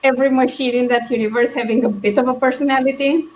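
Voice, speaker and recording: A woman in a casual book discussion, thinking aloud in a hesitant, halting way as she hosts. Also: high voice, fairly even intonation, muffled recording. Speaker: book club host.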